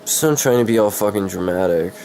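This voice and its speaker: A man with a cold doing a dramatic story reading, halting and easily distracted, with casual swearing. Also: lowish voice, a little mellow, slight background noise, rough recording. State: sick with a cold.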